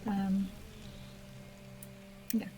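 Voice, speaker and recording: A woman's voice: extremely slow, mellow. A woman hosting a gaming video with casual, chatty, informal commentary. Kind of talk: casual let's play commentary. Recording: faint background noise, game ambience.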